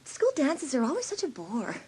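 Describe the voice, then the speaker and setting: A woman's voice: high voice, huge pitch swings, normal speed, warm tone. A woman playing a casual, cool teenage best friend in a dramatised audiobook. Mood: offhand.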